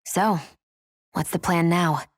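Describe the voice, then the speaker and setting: A woman's voice: on the low side, steady tone, slow pace, dry recording, studio quality. An actress playing a cool, impatient young warrior woman in a video game cutscene. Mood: cool, probing. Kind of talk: dramatic video game voice acting.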